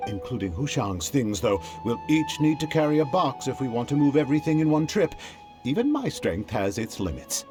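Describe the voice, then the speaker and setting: A man's voice: mid-range voice, big pitch swings, normal speed, natural voice. A man voicing an easygoing, offhand male porter in a video game. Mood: grudging. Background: game music.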